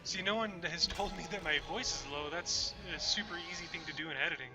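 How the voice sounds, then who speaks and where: A man's voice: on the high side, quick pace, music in the background. A young man streaming a game at home, chatting casually with viewers as he plays and rambling about what he is doing. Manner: chatty, rambling, talks to chat while playing. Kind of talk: casual gameplay livestream chat. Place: home room. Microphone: desk microphone.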